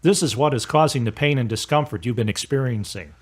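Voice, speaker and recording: Man acting doctor, medium pitch, clinical, considerate, explanatory, audio drama dialogue. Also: fairly quick, breathy edge, natural voice.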